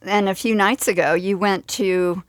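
A woman's voice: medium pitch, fairly even intonation, unhurried, no room sound. A woman hosting a radio show from a studio, introducing segments and interviewing guests.

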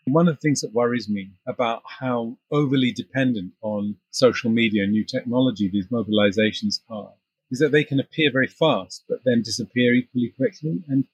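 A man hosting an academic interview, speaking in a measured, articulate way with long formal sentences. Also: medium pitch, slightly rough, dry recording, lo-fi sound.

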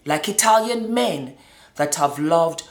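A woman's voice: low voice, lively intonation, slow pace. A middle-aged woman from Nigeria and Scotland giving a personal testimony, speaking emphatically and repeating words for stress. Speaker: former model telling her life story. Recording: roomy, echoing indoor sound.

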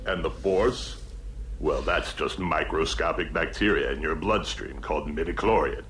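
An actor playing a menacing masked villain father in a comic space-saga parody, grave and commanding with deadpan reveals. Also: extremely low pitch, moderate pace, slight background noise. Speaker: film villain. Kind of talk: comic film acting.

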